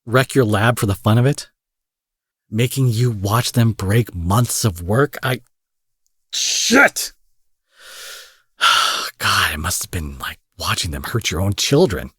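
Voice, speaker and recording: A man's voice: fairly low pitch, lively intonation, takes it slowly, pauses often, a bit bright, husky, no echo, clean studio sound, full character voice. A man playing an angry, protective supervillain in an intimate audio roleplay, menacing yet tender, speaking emphatically with swearing and pet names. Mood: angry, indignant.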